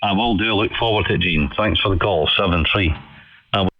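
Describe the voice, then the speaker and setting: Low-voiced man over dull ham radio. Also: a little fast. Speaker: amateur radio operator. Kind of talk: casual ham radio chat. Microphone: studio condenser microphone over radio. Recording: dull band-limited shortwave radio signal.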